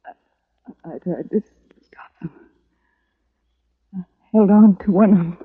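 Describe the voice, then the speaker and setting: A woman's voice: medium pitch, fairly even intonation, a little slow, husky. A woman playing a wounded, distraught homesteader mother in a 1950s radio Western, breathless and sobbing weakly. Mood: anguished. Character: wounded, anguished homesteader mother, barely alive. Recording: muffled, thin vintage radio recording.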